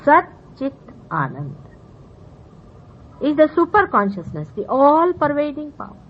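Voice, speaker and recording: A woman from India whose first language is Marathi speaks with an Indian accent, explaining spiritual ideas earnestly to her listeners and pausing often with fillers. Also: high-pitched, big pitch swings. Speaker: spiritual teacher. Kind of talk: spiritual talk to followers. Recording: dull old 1970s tape with faint hiss.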